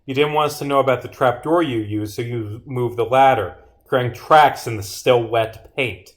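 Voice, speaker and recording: A man with a mid-pitched voice streams his visual novel work. He reads dialogue aloud with some theatrical delivery, then slips into quieter muttered commentary on his own writing. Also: moderate pace. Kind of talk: livestream of reading own game script aloud with commentary.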